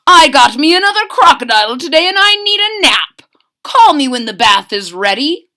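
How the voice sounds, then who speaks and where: A woman puts on a bossy male storybook villain who hunts crocodiles. He is smug and gloating, giving orders in a high, bright, wildly swinging voice. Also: sing-song, moderate pace, heavily acted. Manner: commanding, gloating.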